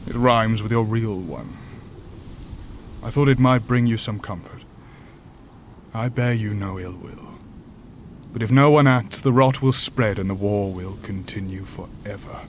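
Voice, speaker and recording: A man plays an ancient imprisoned creature in a sci-fi horror audio drama. He speaks formally and gravely, with measured courtesy, over noticeable background ambience. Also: fairly low pitch, slight background noise, close to an everyday voice. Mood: regretful, courteous. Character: polite, solemn ancient male creature, imprisoned and doom-prophesying.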